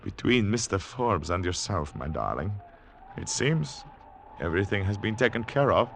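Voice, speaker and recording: A man's voice: on the low side, expressive, normal speed, creaky, background noise. A man playing a weary, restrained wartime agent in love, in 1940s radio drama style. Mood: tender. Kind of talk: 1940s radio acting with first-person narration. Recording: old radio broadcast, loud music behind.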